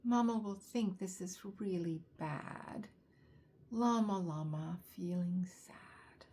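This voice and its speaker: A woman reading a children's picture book aloud, expressively, with drawn-out words and chatty asides and questions to young listeners. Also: on the low side, warm tone, slightly rough.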